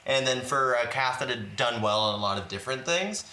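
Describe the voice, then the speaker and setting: A man's voice: mid-range voice, animated, a little fast, sharp tone, roomy sound. A man talking casually in a group interview as a drag queen contestant, with wry, slangy, campy delivery.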